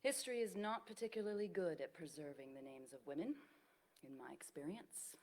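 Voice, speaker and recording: A woman's voice: fairly low pitch, animated, fairly quick, darkish tone. An actress playing a loyal, witty, self-assured woman friend in a staged reading of a period drama, speaking formally and teasingly. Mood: wry.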